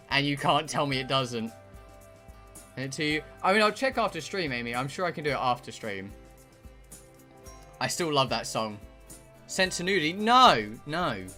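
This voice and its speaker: A man's voice: mid-range voice, pushed and bright. A man livestreaming a visual novel, chatting casually and reading dialogue aloud in a rambling way with casual swearing. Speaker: streamer playing a visual novel.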